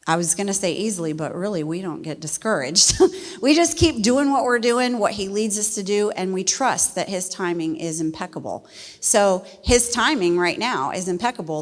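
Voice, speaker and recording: A middle-aged woman from West Virginia, a missionary speaking to a church congregation in a folksy, animated way. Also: fairly low pitch. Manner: folksy and animated, laughs easily. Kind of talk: church testimony talk. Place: church sanctuary. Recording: long reverb of a church hall.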